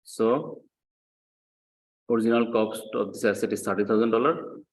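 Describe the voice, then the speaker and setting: Male lecturer, fairly low voice. Didactic classroom delivery, repeats key points, frequent check questions. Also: moderate pace, slight background noise, rough recording. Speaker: accounting lecturer. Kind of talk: classroom lecture, explaining worked example.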